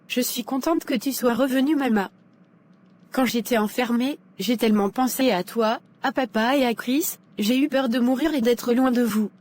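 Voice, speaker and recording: Une femme qui double une jeune fille pieuse et douce. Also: female voice, fairly high pitch, normal speed, lightly acted. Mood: tender. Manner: soft, earnest, forgiving. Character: young woman, daughter freed from captivity, gentle and devout.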